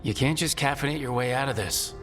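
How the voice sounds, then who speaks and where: A man's voice: mid-range voice, brisk, a bit bright, faint music behind, close to an everyday voice. An actor playing a wry, encouraging male leader of a fantasy party in a video game. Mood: urgent concern.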